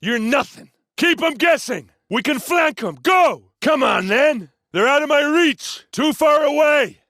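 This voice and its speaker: An actor playing a cocky, blunt, hard-drinking warrior captain in a video game, full of boastful swagger. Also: male voice, very high voice, expressive, measured pace, bright, forceful tone, no echo, clean studio sound, exaggerated voice. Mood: urgent, commanding.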